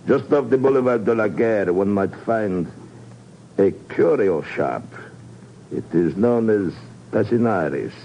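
Suave menacing spy, deep-ish voice, pompous courtesy, 1950s radio drama, faint noise. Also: male voice, lively intonation, measured pace, gravelly. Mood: oily courtesy. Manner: silky, pompous, chuckling politeness. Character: suave, menacing, heavy-set male spy, smugly courteous. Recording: muffled, noisy 1950s radio recording.

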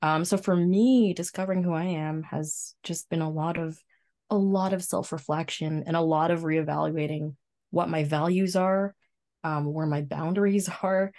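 A woman's voice: low voice, steady tone, normal speed, darkish tone. A woman in her twenties chatting casually as a podcast co-host, reflective and conversational. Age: 20s. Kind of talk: casual chat.

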